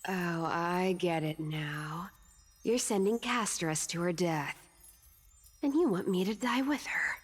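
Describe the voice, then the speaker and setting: A woman's voice: lowish voice, unhurried, husky, faint music behind. An actress voicing a sly, greedy female thief demigod in a video game, teasing and theatrical. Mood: grave, challenging. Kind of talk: video game character acting.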